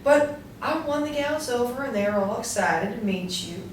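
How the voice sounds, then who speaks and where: A woman's voice: on the high side, animated, normal speed, lightly acted. A woman in a staged reading playing a gushing, fretful society clubwoman who was once a school teacher. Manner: gushing, fretful, given to cooing and correcting. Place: small room, seated reading from pages. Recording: echoey room, distant mic, faint noise.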